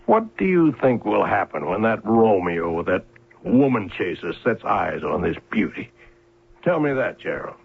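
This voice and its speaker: An actor playing a proud, bitter older inventor and scheming uncle, theatrical and commanding, with a gravelly, raspy voice in an old radio drama. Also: male voice, fairly low pitch, sing-song, normal speed, heavily acted. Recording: lo-fi, muffled old radio broadcast.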